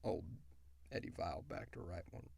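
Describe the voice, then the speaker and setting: A man hosting a question-and-answer show in an informal, emphatic and animated way. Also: low-pitched, normal speed, vocal fry. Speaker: host and creator of an audio drama podcast. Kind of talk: casual chat answering listener questions.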